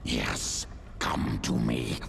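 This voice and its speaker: A man voicing a hulking primal alien predator in a video game, with a gravelly growl and menacing, stilted phrasing. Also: extremely low pitch, animated, very slow, exaggerated voice. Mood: hungry, menacing. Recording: noisy ambience behind the voice.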